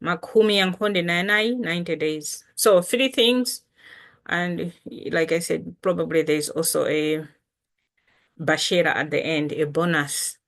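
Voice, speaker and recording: Woman from Malawi, Chitumbuka native, language teacher; slow, patient delivery, lowish voice. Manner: unhurried, patient, repeats and spells out words. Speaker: language teacher and channel host. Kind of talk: casual language lesson to camera.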